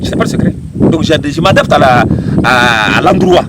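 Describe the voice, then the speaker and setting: A man's voice: fairly high pitch, lively intonation, normal speed. A man from Mali giving an interview outdoors, talking expressively with repeated words and restarts. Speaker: interviewee, local community figure. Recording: loud wind and outdoor noise.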